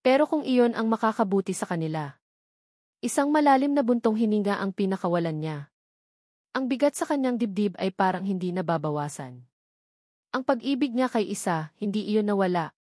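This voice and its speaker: A woman's voice: medium pitch, lively intonation, brisk, a little mellow, no echo, studio quality. A woman with a Filipino accent giving a dramatic scripted narration of a romance story.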